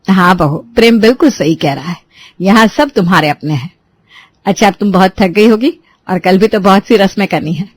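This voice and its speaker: A woman voicing a soft-spoken middle-aged mother-in-law who coaxes and gets flustered. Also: medium pitch, fairly quick, no room sound, close to an everyday voice. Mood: kindly. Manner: coaxing, flustered.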